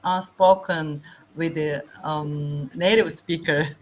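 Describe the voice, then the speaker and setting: A woman in her thirties from South Korea with a Korean accent, an English learner talking hesitantly on a video call with many pauses and fillers. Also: sits low, steady tone, lo-fi sound, slight background noise. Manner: hesitant, halting with many ums. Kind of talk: casual online lesson chat.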